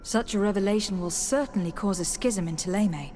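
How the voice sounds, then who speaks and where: A woman's voice: mid-range voice, brisk, dark tone, a little hiss or hum, natural voice. An actress playing a composed, diplomatic noblewoman envoy in a fantasy video game. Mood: pensive. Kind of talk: scripted fantasy game dialogue. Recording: game music behind the voice. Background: game music.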